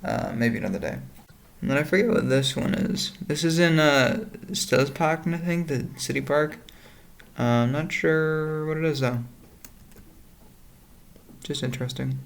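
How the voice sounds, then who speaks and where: A young man, an exchange student, chatting casually in a vlog, rambling and hesitant with many pauses. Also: fairly high pitch, creaky. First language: English. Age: young adult. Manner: relaxed, rambling, many ums.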